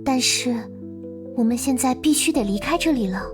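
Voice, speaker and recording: A woman playing a mysterious, devoted girl in a visual novel game, speaking in a soft, earnest, coaxing manner. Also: high-pitched, expressive, moderate pace, over music. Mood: tender. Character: Lilith, mysterious devoted girl from beyond the world.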